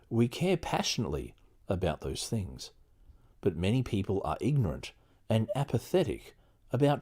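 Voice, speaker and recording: Animated man, slightly husky, lower-pitched. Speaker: podcast host. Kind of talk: casual livestream chat and reading a book aloud.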